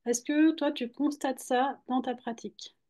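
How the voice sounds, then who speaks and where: Woman physio co-hosting a webinar on video call, fairly high voice, quick chatty delivery. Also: fairly even intonation, warm tone. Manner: brisk, conversational, asks her co-host questions. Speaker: physiotherapist and teacher, co-host. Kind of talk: live webinar talk.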